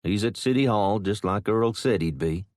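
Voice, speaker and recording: A man voicing a steady, practical grown man who speaks bluntly and matter-of-factly. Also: on the low side, normal speed, no room sound, clean studio sound, natural voice.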